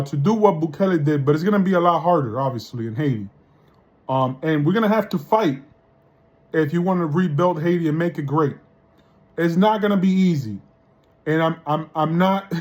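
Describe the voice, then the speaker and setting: A man's voice: on the high side, darkish tone. A man from the Dominican Republic giving a casual spoken monologue, often tagging his points with "right?" and restarting sentences. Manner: conversational, often tags lines with "right?" and restarts. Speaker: vlogger.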